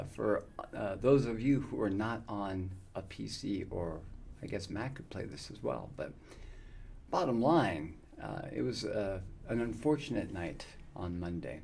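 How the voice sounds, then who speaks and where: Gravelly fifty-something streamer, rambling chat. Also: male voice, on the low side, darkish tone, slight background noise. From United States. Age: 50s. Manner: friendly, rambling, many uh fillers. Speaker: gaming streamer. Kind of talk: casual live-stream chat with viewers.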